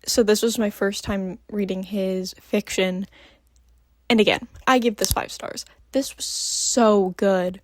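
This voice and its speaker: A woman's voice: mid-range voice, steady tone, slow pace, a little hiss or hum. Freshly woken woman gushing about books. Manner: gushing, filler-heavy, many ums. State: just woke up. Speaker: book vlogger. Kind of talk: casual book review chat.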